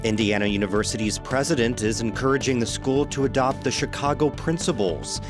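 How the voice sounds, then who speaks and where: A man's voice: medium pitch, a little fast, over music. A man anchoring a local news program with scripted delivery.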